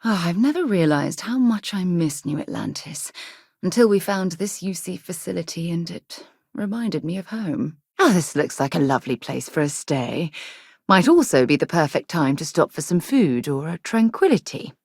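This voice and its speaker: A woman voicing a principled, curious space explorer in a video game, husky and articulate, with a composed, earnest delivery. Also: lowish voice, big pitch swings, moderate pace, a little mellow, dry recording, clean studio sound, exaggerated voice. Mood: reflective. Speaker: game voice actor. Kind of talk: video game companion dialogue.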